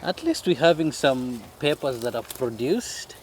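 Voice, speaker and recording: A man's voice: mid-range voice, very animated, a little slow, darkish tone. Kenyan man chatting casually in a noisy garden. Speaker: family vlog host. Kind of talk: casual outdoor chat while gardening. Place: outdoors in a vegetable garden.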